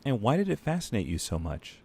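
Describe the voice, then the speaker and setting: A man hosting a podcast interview, putting questions in a conversational, articulate and measured way. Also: mid-range voice, huge pitch swings, a little mellow, slightly rough.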